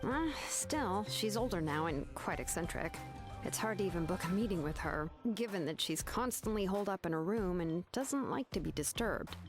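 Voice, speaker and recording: A woman voicing a level-headed, frank ally in an anime fantasy game, with a measured, slightly wry delivery. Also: on the low side, expressive. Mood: wry, advising. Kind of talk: anime video game voice acting. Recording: faint music behind.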